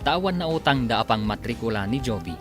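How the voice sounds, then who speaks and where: A man's voice: medium pitch, animated, fast talker. A man announcing and narrating a radio drama in a scripted, dramatic style. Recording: music behind the narration.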